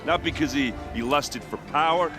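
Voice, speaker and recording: A man's voice: fairly high pitch, moderate pace, lightly acted. A man playing a brash, plain-spoken envoy addressing people in a video game, blunt and emphatic. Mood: rousing, blunt. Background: music.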